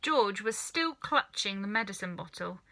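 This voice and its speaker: Female storybook reader, bright and expressive, with highly varied melody. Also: normal speed. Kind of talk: animated children's story narration.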